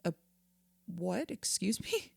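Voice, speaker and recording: An actress playing a composed, sympathetic therapist in an audio drama, measured and gently questioning. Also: female voice, on the low side, big pitch swings, creaky, husky, no room sound, heavily acted. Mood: taken aback. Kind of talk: audio drama acting, therapy session dialogue.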